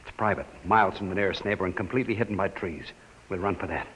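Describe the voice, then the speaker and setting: A man's voice: deep voice, fast talker, a bit bright, husky. An American actor playing a secretive, commanding man in a 1950s radio drama, speaking with urgent, reassuring authority. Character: secretive, commanding man calmly steering a frightened companion. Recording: noisy, band-limited old radio recording.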